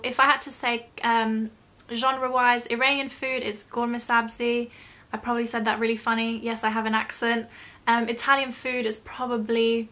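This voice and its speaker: A woman's voice: a little high, bright, forceful tone. A young British woman chatting casually in a question-and-answer vlog, rambling a little and self-deprecating. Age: young adult. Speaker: YouTube vlogger.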